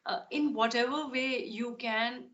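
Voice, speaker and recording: A woman's voice: a little high, fairly even intonation, a little slow, a bit bright, highs cut off. A woman singer being interviewed, answering in an earnest, thoughtful way with many fillers and restarts. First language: not Tamil. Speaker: podcast guest.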